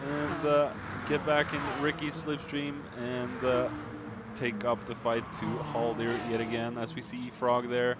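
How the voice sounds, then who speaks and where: A man giving excitable, informal live race commentary with hesitations, his voice strained and starting to give out. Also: medium pitch, a little slow, very noisy. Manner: exclamatory, informal, peppered with hesitations. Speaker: esports racing commentator.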